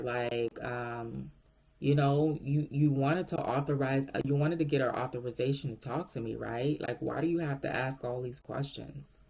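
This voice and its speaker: A man's voice: mid-range voice, creaky, darkish tone. A man telling a story casually as a vlogger, speaking straight to viewers and acting out the dialogue as they go. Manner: conversational, addresses viewers directly, acts out quoted dialogue. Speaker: vlogger recounting a work story.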